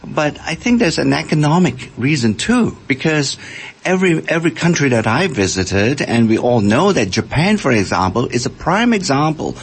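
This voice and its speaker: Husky older man explaining in an interview. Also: on the high side, very animated, moderate pace. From Malaysia. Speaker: scientist and author, interview guest. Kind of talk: radio interview answers.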